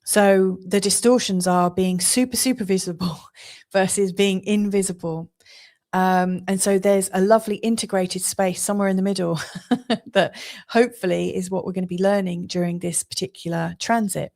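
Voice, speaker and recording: A woman's voice: medium pitch, steady tone, normal speed, darkish tone. Woman, English accent, casual astrology talk, earnest, laughs between thoughts, lots of fillers. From England. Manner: chatty, earnest, laughs often, many fillers. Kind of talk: casual monologue forecast.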